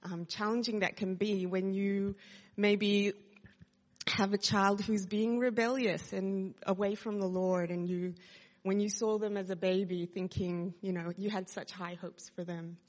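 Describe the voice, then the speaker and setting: A woman's voice: mid-range voice, fairly even intonation, unhurried, a little mellow. A woman in her thirties from Sydney with an Australian accent, sharing her testimony in a chatty way with many fillers. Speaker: church speaker sharing testimony. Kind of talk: casual testimony talk to a congregation. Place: church hall. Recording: long hall reverb.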